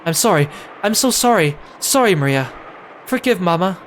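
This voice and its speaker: A remorseful mother pleads and apologizes, as a man reads her part aloud. The voice sits fairly high and swings widely in pitch, with a faint hiss of noise behind it. She sounds apologetic and desperate to be forgiven. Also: animated, moderate pace, a bit bright. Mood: tearful pleading.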